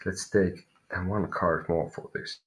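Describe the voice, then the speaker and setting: Finnish guy doing live tarot, lowish voice, kinda feels his way through each sentence. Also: steady tone, unhurried. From Finland. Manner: thinking aloud, searching for words. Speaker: tarot reader. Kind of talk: live tarot reading for viewers.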